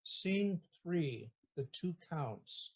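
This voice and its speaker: A narrator reading stage directions in an audio play in a plain, measured way. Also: mid-range voice, expressive.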